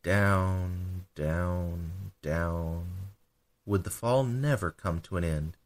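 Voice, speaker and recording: Narrator for children's bedtime tale: man with a deep register, reading from the book. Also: very slow. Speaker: storybook narrator. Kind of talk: scripted bedtime story narration.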